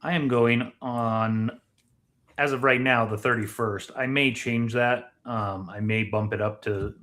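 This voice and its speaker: A man with a medium-pitched voice chats as a podcast co-host. His delivery is casual yet measured, laying out his points plainly and matter-of-factly. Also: fairly even intonation, a bit bright. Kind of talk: casual chat.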